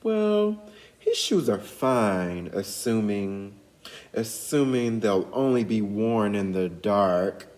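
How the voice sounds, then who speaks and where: A man's voice: fairly low pitch, expressive, slow, darkish tone. A man reading a storybook and voicing a roguish young Irishman with careful, teasing understatement.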